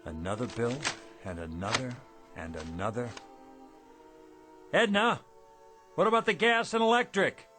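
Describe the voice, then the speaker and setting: An actor playing a weary, kindly, debt-ridden shop owner in a radio drama. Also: male voice, mid-range voice, lively intonation, a little slow, pauses often, loud music behind the voice, natural voice. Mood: worried.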